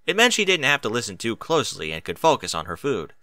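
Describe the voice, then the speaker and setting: A man's voice: medium pitch, wildly swooping pitch, fast, bright, forceful tone, no echo, studio quality. A man reading scripted story narration as an audiobook narrator.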